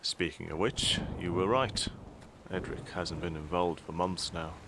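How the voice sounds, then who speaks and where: Audio drama casting: male actor as deep-voiced, dryly unbothered father and statesman, measured and formal, background noise. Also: sharp tone, full character voice. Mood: measured, matter-of-fact. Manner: measured, formal, dry wit.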